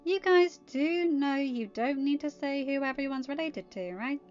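A woman's voice: sits high, normal speed, darkish tone, lo-fi sound, soft background music, pitched above the performer's own voice, close to an everyday voice. A woman voicing a wry, irreverent teenage girl in an amateur storybook reading. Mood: exasperated.

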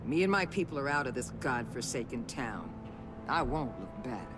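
A woman's voice: a bass voice, very animated, moderate pace. A woman playing a hard, defiant middle-aged agency chief in a video game, speaking with cutting authority. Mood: cold, unyielding. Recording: music behind the voice.

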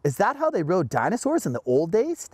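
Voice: medium pitch, huge pitch swings, a little fast, a little mellow, husky, dry recording, voice pushed up. An adult storybook reader voicing eager, curious schoolchildren on a field trip, recorded dry and close. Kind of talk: storybook character voice.

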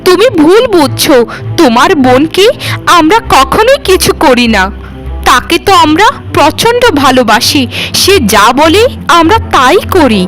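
Woman acting a high-voiced, pleading young wife. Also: sing-song, a little slow, a bit bright, heavily acted, rough recording. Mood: protesting, puzzled. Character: wronged young wife, pleading, earnest, hurt but dignified. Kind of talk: cartoon melodrama dialogue. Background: background music.

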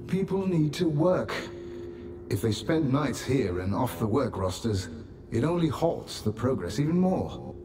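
A man's voice: mid-range voice, big pitch swings, a little slow, dark tone. A man acting a stern, coldly dismissive colony leader, authoritative and condescending, in a video game cutscene. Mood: cold, commanding. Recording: clear ambient noise behind.

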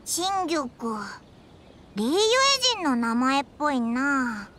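A woman voicing a small, excitable childlike fairy companion in an anime game, with a squeaky voice and blunt boyish speech. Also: takes it slowly, slight background noise. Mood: puzzled, concerned.

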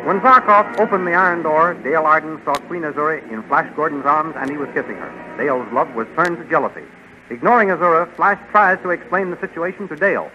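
A high-voiced man announces in dramatic thirties radio serial style. The old recording is muffled, with clear music behind him. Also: animated, brisk. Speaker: announcer and narrator. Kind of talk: dramatic scripted 1930s radio narration.

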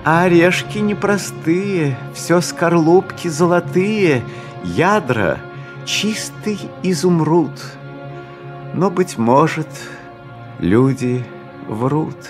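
A man voicing a courteous, melancholy young prince in expressive, drawn-out fairy-tale verse. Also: fairly high pitch, faint music behind, pitched above the performer's own voice. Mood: wistful.

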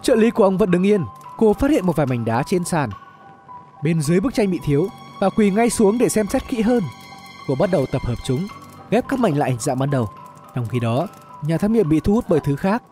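A man reading a scripted dramatic story as narrator, in an expressive storytelling manner. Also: high voice, a little fast. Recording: music bed under the voice. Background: background music.

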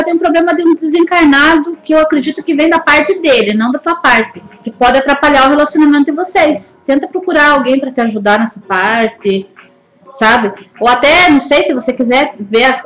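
A woman giving a calm, earnest card reading, recorded on a webcam in a small room. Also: high-pitched, fairly quick, roomy sound, poor audio. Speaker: card reader. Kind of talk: spiritual card reading advice.

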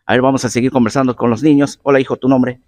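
A man's voice: mid-range voice, little pitch movement, fast, darkish tone, no echo, clean studio sound. A man with a Peruvian accent reporting from an outdoor football field, friendly and affectionate as he interviews children. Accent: Peruvian Spanish. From Peru.

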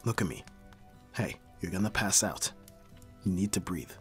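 Guy playing a brooding mafia boss in an audio drama, low voice, terse and measured, music behind him. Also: animated, a bit bright. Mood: low, gentle. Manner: terse, profane, sighing, measured. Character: brooding young mafia boss, hard yet secretly caring. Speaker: voice actor in audio roleplay. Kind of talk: dramatic ASMR audio roleplay acting.

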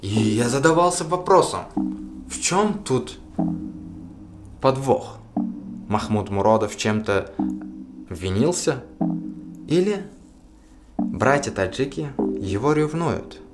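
A man's voice: medium pitch, big pitch swings, slow, pauses often, over loud music. A young man talking earnestly to camera from a small room, addressing his viewers directly. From Russia. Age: young adult. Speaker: video blogger. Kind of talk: casual to-camera commentary.

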